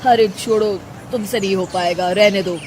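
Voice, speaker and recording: A woman's voice: mid-range voice, fairly even intonation, moderate pace, noisy background, close to an everyday voice. A woman voicing a childish, pampered young man in a cartoon family story. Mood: dismissive.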